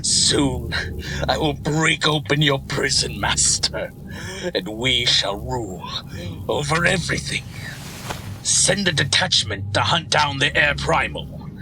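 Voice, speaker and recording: Theatrical, slow-talking serpent villain lord. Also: male voice, mid-range voice, lively intonation, background noise. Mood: commanding. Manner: theatrical, mocking, sneering. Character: scheming gloating male snake villain lord, grandiose and cruel. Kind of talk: fan audio drama villain acting.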